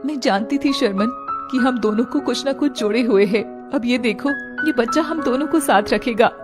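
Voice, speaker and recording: A woman's voice: a little high, normal speed, music in the background, natural voice. A woman voicing a clingy, soulful young pregnant rival in an audio drama, speaking with earnest sentiment. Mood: tender, pleading.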